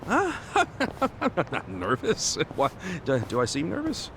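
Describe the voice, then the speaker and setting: A man's voice: mid-range voice, wildly swooping pitch, a little slow, background noise. An actor playing an upbeat, trusting, easily flustered male adventurer in an English video game dub. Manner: eager, stammering when flustered. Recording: music behind dialogue.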